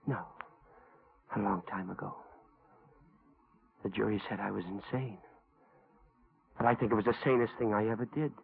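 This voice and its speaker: An actor playing a calm, brooding escaped killer with quiet menace in a 1940s radio thriller. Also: male voice, lowish voice, moderate pace, vocal fry, pauses often, husky, full character voice. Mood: cold, matter-of-fact. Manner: quietly menacing, matter-of-fact. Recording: tinny 1940s radio recording.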